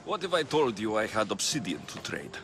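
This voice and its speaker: A man's voice: fairly low pitch, big pitch swings, a little fast, a little hiss or hum. A man playing a wry, blunt young Greek mercenary in a video game cutscene, with a dry, sardonic manner. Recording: loud background music.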